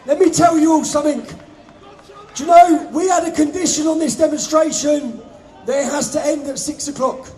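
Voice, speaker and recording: A man shouting an impassioned speech to a street rally crowd through a stage microphone. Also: extremely high pitch, moderate pace. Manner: shouting to a crowd, hoarse-edged. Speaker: rally organiser speaking on stage. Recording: live outdoor rally with crowd. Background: crowd noise.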